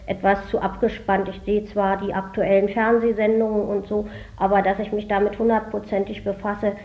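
A woman's voice: mid-range voice, level tone, normal speed. A woman, a store employee, speaking hesitantly with many ums in an interview. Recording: dull lo-fi recording.

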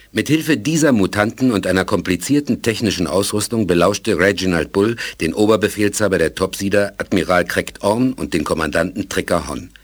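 A man's voice: low voice, no room sound. A man narrating a science fiction radio play as a sober storyteller. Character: sober male storyteller of a space adventure.

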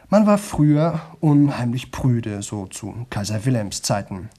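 A man's voice: mid-range voice, warm tone, lightly acted. An actor playing a chatty young man who rambles nonstop to an audience in a radio play, colloquial, digressive and often interrupting himself. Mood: chatty, musing. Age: young adult. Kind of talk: 1970 German radio drama monologue.

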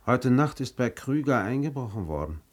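A man playing an easygoing, educated gentleman doctor in a German radio play, talking in a casual, nonchalant manner. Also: mid-range voice, very animated, normal speed.

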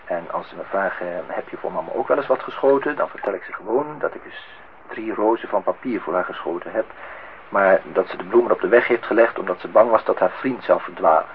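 A man's voice: sits low, brisk, lightly acted. An actor playing a wry, grumbling man acting the husband and father in a Dutch radio play, with a dry, theatrical irony. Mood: wistful, ironic. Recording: tinny, band-limited old radio recording, faint noise.

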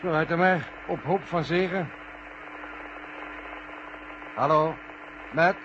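An eager mission-control operator in an old radio drama. His voice sits fairly high and he speaks respectfully, on a dull recording with a clear hum of noise. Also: slow. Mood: trying, doubtful. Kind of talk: 1970 Dutch radio play acting.

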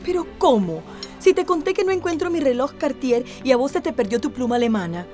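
A fussy, genteel rich wife from a radio soap, anxious and fairly high in pitch. Music plays clearly behind her. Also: animated, brisk, mellow. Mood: anxious, puzzled. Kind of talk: radio drama acting.

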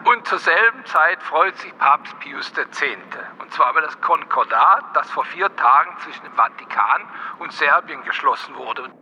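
Male chronicler acted by a man, raised register, extremely forceful tone, scripted radio drama. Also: on the high side, animated, moderate pace, a little hiss or hum, low-quality recording. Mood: intense.

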